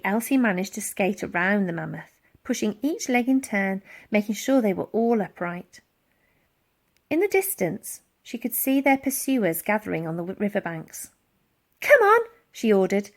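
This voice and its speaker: A woman reading a children's storybook aloud in a dramatic, expressive narrating style. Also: mid-range voice. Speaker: audiobook reader.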